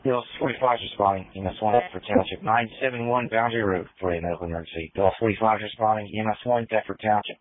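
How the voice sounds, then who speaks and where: A man's voice: fairly low pitch, quick pace, hardly any pauses, noisy background. A man working as an emergency dispatcher, reading fire and EMS calls over a two-way radio in clipped, formal phrasing. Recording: phone-like fire radio transmission.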